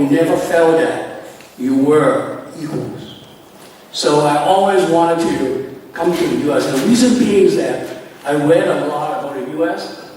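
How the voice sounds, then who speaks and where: A man's voice: fairly high pitch, darkish tone, slight background noise. An older man who grew up in southern China and Hong Kong, reflectively telling his life story as an interview guest. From southern China, raised in Hong Kong. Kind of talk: personal life story at a panel. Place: large banquet hall. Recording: echoey hall from far off.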